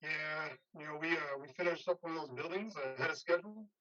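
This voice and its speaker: An actor playing an easygoing, loyal construction worker friend in a play reading, talking casually and haltingly. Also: male voice, a little high, pushed and bright, dull audio. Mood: breezy. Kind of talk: remote staged play reading.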